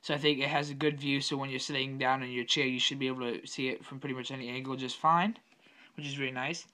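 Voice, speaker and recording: A young man with a slightly raspy voice from being sick, casually talking through an unboxing at his desk with lots of fillers and self-corrections. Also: medium pitch, fairly even intonation, fast, bright, forceful tone. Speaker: tech unboxing vlogger. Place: home room at a desk.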